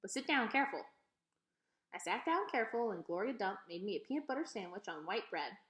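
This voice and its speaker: A woman reading a children's novel aloud in an expressive storytelling style. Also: medium pitch, fast talker. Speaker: read-aloud reader. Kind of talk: expressive children's book narration. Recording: echoey, roomy sound.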